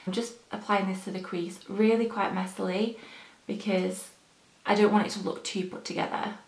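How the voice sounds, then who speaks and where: A young woman with a slightly hoarse morning voice, chatting casually through her makeup routine as a beauty vlogger. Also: medium pitch, little pitch movement, moderate pace, roomy sound, poor audio. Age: young adult. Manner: chatty, rambling, frequent ums. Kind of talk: casual chat while applying makeup.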